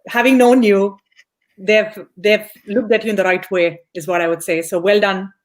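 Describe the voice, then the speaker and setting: A woman's voice: medium pitch, steady tone, moderate pace. Upbeat young woman podcast host. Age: young adult. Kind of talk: casual podcast interview.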